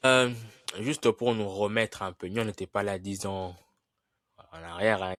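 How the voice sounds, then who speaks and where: A co-host asks questions in a low voice. He stammers and restarts, and the recording is dry and close. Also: measured pace, fairly bright tone, no room sound. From Democratic Republic of the Congo. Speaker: podcast co-host.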